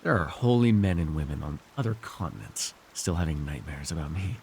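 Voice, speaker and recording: A man voicing a scheming, weary villain lord in an immersive fantasy ASMR roleplay, wry and persuasive, speaking theatrically with halting, musing pauses and sighs. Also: a bass voice, animated, creaky, darkish tone, slight background noise, full character voice. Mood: wry, confiding. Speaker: voice actor.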